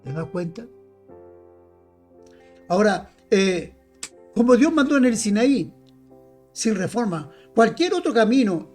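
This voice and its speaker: The mellow voice of an evening online church broadcast, preaching insistently to the faithful while a guitar plays faintly behind. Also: medium pitch, sing-song, slow pace, long pauses. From Chile. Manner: emphatic, direct address to listeners, repeats phrases. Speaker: evangelical preacher. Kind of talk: informal Bible teaching.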